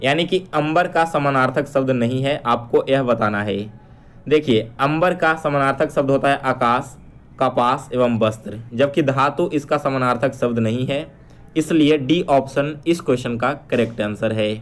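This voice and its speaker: A man speaks as an exam-prep teacher in a middle-pitched voice. He addresses his students directly, reading out questions, repeating them and explaining the answers in an instructive way. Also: moderate pace. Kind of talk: explanatory quiz lesson.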